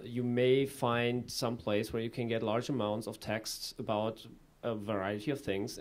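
A man in his thirties answering an audience question on a conference panel, measured and full of small fillers, into a table microphone in a conference room. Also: medium pitch, a little mellow. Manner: measured, with frequent fillers and restarts. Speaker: panelist presenting a project.

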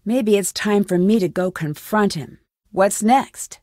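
A woman's voice: mid-range voice, a little slow, warm tone, dry recording, clean studio sound, lightly acted. An American woman playing a determined young amateur detective in a video game, assertive and questioning. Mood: businesslike, curious. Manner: assertive, questioning, clearly enunciated. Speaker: game protagonist.

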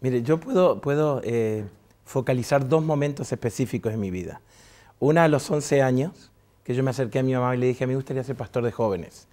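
A man's voice: mid-range voice, brisk, mellow. A middle-aged man from Argentina with an Argentine Spanish accent, a pastor telling his story as a guest in a TV studio interview, jovial and chatty, often laughing. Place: TV studio set.

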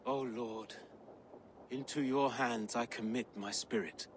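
An actor playing a proud, loyal Saxon soldier in a video game, with a stately, defiant, declamatory delivery. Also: male voice, medium pitch, fairly even intonation, slow, a little hiss or hum, close to an everyday voice. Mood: resigned prayer. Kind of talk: dramatic video game acting.

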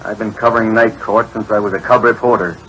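An actor playing a brash, pushy newspaper reporter in an old radio drama, questioning insistently in slangy talk. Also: male voice, lowish voice, fairly even intonation, quick pace, fairly bright tone, a little hiss or hum, lightly acted, low-quality recording. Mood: accusing, indignant.

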